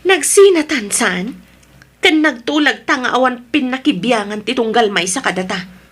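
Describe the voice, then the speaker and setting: A woman's voice: on the high side, lively intonation, moderate pace, breathy edge. A Filipino woman playing a lovestruck, stubborn married woman in an audio drama, emotional and pleading in her delivery. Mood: defiant.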